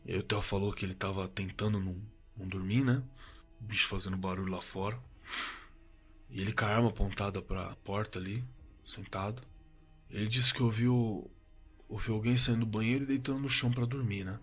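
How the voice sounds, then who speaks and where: A rattled Brazilian survivor in a horror audio drama. His lowish voice is sharp and forceful, and he stumbles and repeats himself in slangy, profane speech. Music plays behind him. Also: steady tone, slightly rough. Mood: uneasy, haunted. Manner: halting, repeats words, colloquial and profane. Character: shaken man recounting a terrifying camping encounter. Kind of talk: first-person horror audio drama testimony. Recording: suspense music under the voice.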